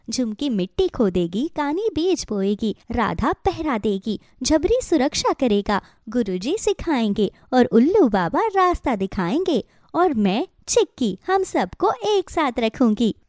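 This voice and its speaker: A woman's voice: sits high, sing-song, a little slow, hardly any pauses, a little mellow, no room sound, clean studio sound. A woman voicing a kindly mother bird in a children's cartoon, encouraging and earnest. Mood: rallying.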